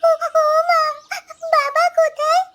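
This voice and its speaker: A woman's voice: very high-pitched, level tone, slow, fairly bright tone, a little hiss or hum, exaggerated voice. A woman voicing an insistent, pouty little girl who pleads in a cartoon. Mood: pleading, stubborn. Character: whiny, insistent little girl missing her father. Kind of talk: acted dialogue in a moral-story cartoon.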